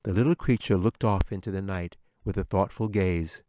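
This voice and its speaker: A man's voice: deep voice, steady tone, normal speed, dark tone, dry recording. A man narrating a scripted fantasy audiobook as its author and storyteller.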